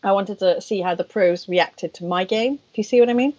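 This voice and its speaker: A woman co-hosting a casual online stream, talking in a chatty, self-deprecating way. Her voice has a middling pitch for a woman. The audio sounds slightly dull and lo-fi. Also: a little fast, a little mellow. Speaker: professional mahjong player and co-host.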